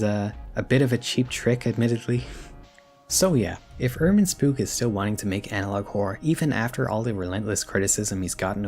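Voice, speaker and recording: A man's voice: on the low side, darkish tone. A man narrating a scripted video essay in an informal, blunt way, with casual opinionated commentary and wry asides.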